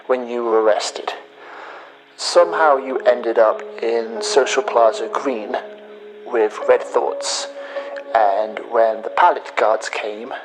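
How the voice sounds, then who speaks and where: Sci-fi audio drama, male hacker, played by an actor: vengeful and accusatory, unhurried, measured delivery over a music bed. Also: mid-range voice, close to an everyday voice, low-quality recording. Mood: measured, taunting. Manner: deliberate, pausing, accusatory. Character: bitter male hacker confessing revenge to his betrayed friend.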